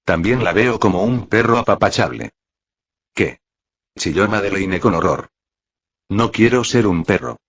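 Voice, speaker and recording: A low-voiced man narrates a scripted story in a husky tone. He reads the dialogue in his own narrating voice, as in an audiobook. The audio is dull and lo-fi. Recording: muffled, roomy, lo-fi audio.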